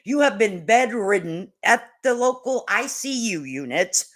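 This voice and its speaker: A woman's voice: mid-range voice, big pitch swings, a little slow, a bit bright. A woman doing casual reaction commentary as a channel host, with a blunt, mocking, folksy and salty way of talking.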